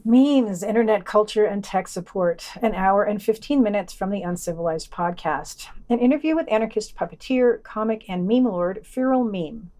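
A woman with a medium-pitched voice presents on a podcast. She reads prepared reviews and editorial comment aloud. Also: expressive, normal speed, hardly any pauses, warm tone. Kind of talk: scripted review and editorial reading.

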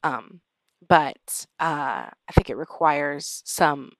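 A woman hosting a podcast conversation, speaking in a measured, halting, thoughtful way. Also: low-pitched, a bit bright, no echo. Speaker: podcast host. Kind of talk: conversational interview with reflective remarks.

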